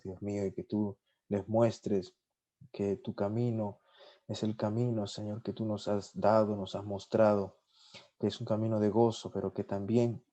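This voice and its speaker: A man's voice: on the low side, mellow. A man whose first language is Spanish, a podcast guest leading a spontaneous spoken prayer, fervent and filled with repeated "Lord" and "amen". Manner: fervent, repeats "Señor" and "amén" often. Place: lives in Sweden.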